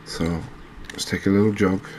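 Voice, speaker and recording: A man hosting a gaming channel with soft-spoken ASMR commentary, talking in a gentle, unhurried, halting way. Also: low voice, fairly even intonation, a little hiss or hum.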